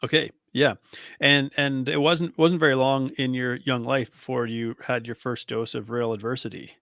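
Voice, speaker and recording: A man's voice: mid-range voice, level tone, normal speed, gravelly, fairly bright tone, dry recording, clean studio sound. A man hosting a podcast interview, encouraging his guest with short affirmations. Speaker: podcast host. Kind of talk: casual interview.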